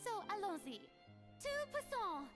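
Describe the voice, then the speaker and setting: A woman's voice: very high-pitched, sing-song, measured pace, full character voice. An actress voicing a proud, theatrical young woman in a video game, with a grandiose, formal way of speaking. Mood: feigned confidence. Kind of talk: scripted video game voice acting. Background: game music.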